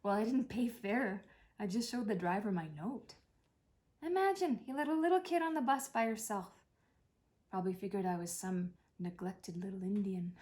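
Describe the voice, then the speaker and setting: A woman's voice: mid-range voice, moderate pace, a little mellow, close to an everyday voice. A woman reading a play as an anxious, wounded grown daughter of a dying mother, defensive, sardonic and blunt in manner. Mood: wry, defensive.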